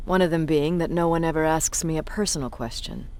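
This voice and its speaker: An actor playing a cynical, intimidating military commander in a video game cutscene, speaking in a deliberate, condescending way. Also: medium pitch, a little mellow, a little hiss or hum, close to an everyday voice. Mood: cold, wry. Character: cynical, intimidating human resistance military commander, self-described bully. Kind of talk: video game cutscene acting, dramatic monologue.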